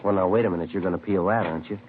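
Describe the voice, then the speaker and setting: Male actor as American police detective, very low voice, quick deadpan delivery, terse, tinny vintage radio recording. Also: slight background noise, natural voice. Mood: mildly skeptical. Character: low-voiced, matter-of-fact police detective, dryly skeptical. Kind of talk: 1950s radio drama acting and clipped case narration.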